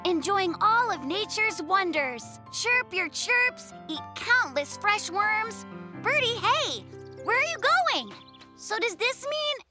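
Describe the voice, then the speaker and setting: Sweet, very high-pitched little cartoon bear cub, played by a woman. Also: sing-song, slow, full character voice. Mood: gentle, lyrical. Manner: tender, gushing, gently insistent. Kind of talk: children's cartoon voice acting. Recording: music bed behind the voice.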